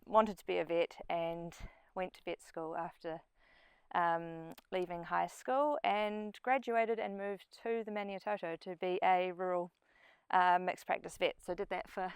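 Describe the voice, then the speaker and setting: A woman's voice: on the low side, smooth, no room sound. A middle-aged woman with a New Zealand accent giving an informal short personal talk to a group. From New Zealand and Papua New Guinea. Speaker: speaker at a community event, farm sustainability coach.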